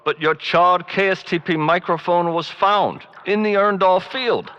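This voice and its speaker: Deadpan, highish-voiced radio drama man. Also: unhurried, clean tone. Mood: grave deadpan. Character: matter-of-fact man relaying grim Martian news. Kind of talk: comedic radio drama acting. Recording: dull lo-fi radio sound, music behind.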